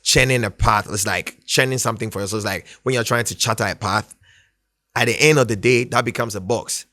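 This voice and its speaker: Deep-voiced laid-back man from Ghana. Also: brisk, pushed and bright, no echo, clean studio sound. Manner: laid-back, rambling, frequent "do you know what I mean". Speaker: guest musician. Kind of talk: casual interview chat.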